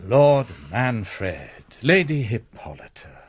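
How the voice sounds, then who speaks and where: An actor playing a sly, pompous priest in a gothic audio drama, with a measured, sanctimonious, theatrical delivery and calculating asides. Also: male voice, medium pitch, very animated, no echo. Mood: grave, formal.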